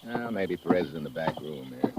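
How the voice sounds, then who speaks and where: An actor playing a tough, wry American correspondent investigator in a brisk, hard-boiled 1950s radio drama style. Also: male voice, a bass voice, lively intonation. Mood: wry, probing. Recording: dull, noisy old radio recording.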